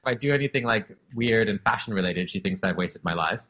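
A man's voice: on the low side, lively intonation, fairly quick, bright, forceful tone. A man in his thirties from Canada, speaking as an interview guest and telling his life story in an open, reflective way with many "um"s and "like"s. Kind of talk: candid personal storytelling.

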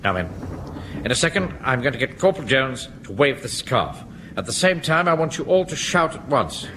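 An actor playing a pompous, exasperated middle-aged Home Guard captain in a British sitcom, with a clipped, commanding manner and an English accent. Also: male voice, medium pitch, big pitch swings, normal speed, lightly acted. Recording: noisy old radio comedy recording.

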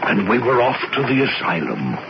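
An actor playing a wry, reluctant celebrated author in a 1970s radio drama, droll, dry and a little hesitant. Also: male voice, medium pitch, very animated, moderate pace. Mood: wry, storytelling. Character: wry, reluctant celebrated male author, narrating his adventure. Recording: dull, noisy vintage radio broadcast.

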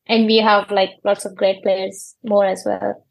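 A woman's voice: medium pitch, flat delivery, measured pace, vocal fry, low-quality recording. A 21-year-old woman with an Afghan accent, speaking English as a learned language and searching for words as she answers interview questions. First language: not English. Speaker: interview guest, cricketer.

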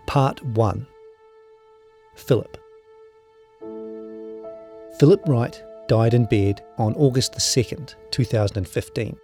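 A man's voice: mid-range voice, slow pace, long pauses, mellow, soft background music. A man with a New Zealand accent, speaking as a journalist. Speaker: journalist reading his own story.

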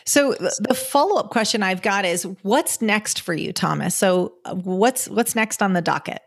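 A woman's voice: mid-range voice, lively intonation, normal speed, creaky. A woman hosting a podcast interview, responding enthusiastically and asking follow-up questions. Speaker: podcast host. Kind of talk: interview conversation.

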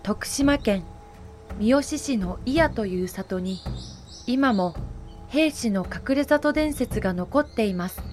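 Female narrator, slightly high voice, slow measured explanatory read, historical audio drama. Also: lively intonation, darkish tone, soft background music.

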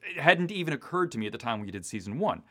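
Male writer in interview, East Coast US origin. Very animated intonation, casual, enthusiastic, prone to detailed tangents. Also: medium pitch, quick pace. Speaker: audio drama writer being interviewed. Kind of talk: casual, detailed behind-the-scenes chat.

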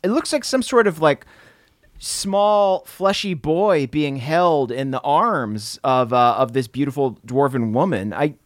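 A man improvising comedy in a halting, searching way on a role-play podcast. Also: on the high side, lively intonation, no room sound, clean studio sound. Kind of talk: improvised in-character comedy chat.

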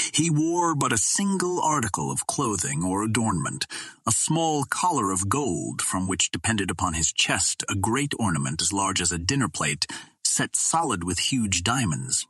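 A man reading a classic adventure novel aloud as scripted narration, in a measured, formal storytelling manner. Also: lowish voice, fairly bright tone, slightly rough, dry recording. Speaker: audiobook reader.

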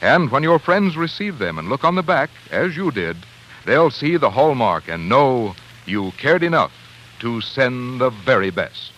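Bright, forceful American radio announcer man. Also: medium pitch, very animated, a little slow, rough recording. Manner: polished, salesmanlike, clearly phrased. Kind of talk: 1940s radio advertising and sign-off announcing. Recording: 1940s radio broadcast recording.

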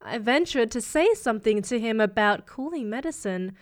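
A woman's voice: on the high side, expressive, moderate pace, lightly acted. A woman playing a fretful, deferential working-class Victorian housekeeper in an audio drama, anxious and rambling as she talks. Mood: worried.

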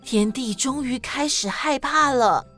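A woman with a Taiwanese Mandarin accent narrating and explaining in a scripted, expressive style for children. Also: sits high, unhurried, loud music behind the voice. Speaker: children's story podcast host and narrator.

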